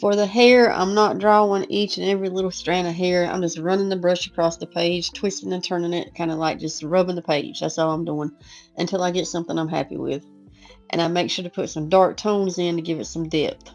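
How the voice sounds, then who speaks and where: A woman who is losing her voice, hoarse and chatty, talking through a casual painting lesson over soft guitar music. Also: fairly low pitch, steady tone, brisk, dull audio. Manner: casual, chatty, self-deprecating. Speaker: art teacher. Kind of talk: relaxed painting tutorial voice-over.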